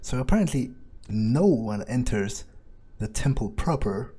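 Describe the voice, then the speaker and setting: Husky, dark-voiced man roleplaying a game character. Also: medium pitch, lively intonation, unhurried. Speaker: player voicing his own character in a let's play.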